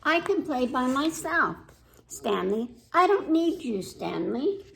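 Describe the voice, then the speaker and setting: A woman voicing a stubborn, boastful little girl from a storybook, with emphatic, defiant, drawn-out words. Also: sits high, big pitch swings, vocal fry, a bit bright, a little hiss or hum, heavily acted, rough recording.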